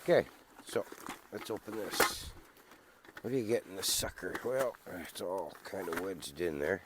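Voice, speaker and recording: A man's voice: deep voice, expressive, unhurried, noisy background. A man with a congested voice from a cold, chatting casually to camera in the woods while he sets up camp gear. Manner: rambling, easygoing, thinks aloud. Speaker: outdoor vlogger. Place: outdoors in the woods.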